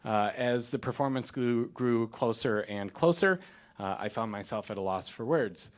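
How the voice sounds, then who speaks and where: A man in his thirties speaks as a community responder after a stage performance. He reads from notes in a theater, halting with fillers at first and building to firm declarations. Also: medium pitch. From United States. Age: 30s. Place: theater, in front of an audience.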